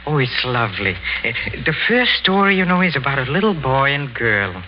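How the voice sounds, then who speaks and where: Soft-spoken man in old radio comedy. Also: mid-range voice, sing-song, fairly quick, slight background noise. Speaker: guest star playing himself. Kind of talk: 1940s radio sitcom acting. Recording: dull old radio broadcast.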